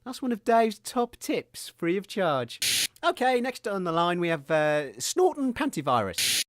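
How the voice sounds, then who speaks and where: Excitable naive co-host, voice swoops all over, dry close sound. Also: male voice, high-pitched, unhurried, no room sound. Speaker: radio comedy co-host. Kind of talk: scripted comedy sketch.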